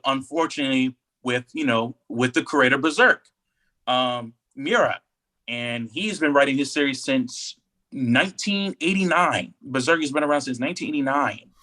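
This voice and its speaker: A man's voice: mid-range voice, sharp tone. A man co-hosting a podcast in casual, enthusiastic chat, talking emphatically and repeating himself to make a point. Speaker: podcast co-host.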